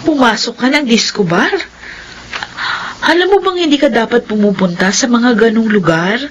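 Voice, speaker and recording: An actress playing a worried, scolding confidante in a Filipino radio drama. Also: female voice, a little high, animated, moderate pace, close to an everyday voice, rough recording. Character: scolding, worried confidante of a devout young woman.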